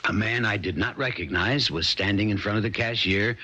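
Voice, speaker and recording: Bright, low-voiced folksy sheriff. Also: male voice, brisk. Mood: measured, insistent. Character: plain-spoken small-town sheriff testifying and sleuthing. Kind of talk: 1970s radio drama acting. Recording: dull vintage radio recording, music behind.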